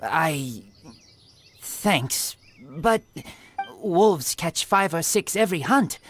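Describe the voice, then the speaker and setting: An actor playing a feral, wary wolf-raised boy in a video game, speaking in halting, broken sentences. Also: sits low, animated, noisy background, full character voice. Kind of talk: video game character voice acting.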